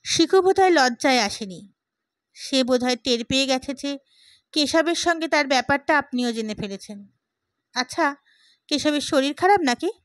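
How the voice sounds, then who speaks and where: A woman plays a fervent, idealistic gentleman in an audiobook novel. The voice is high and emphatic, talkative and oratorical, recorded dry. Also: lively intonation, normal speed, pauses often, no echo. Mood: amused, confiding. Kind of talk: dramatised audiobook character.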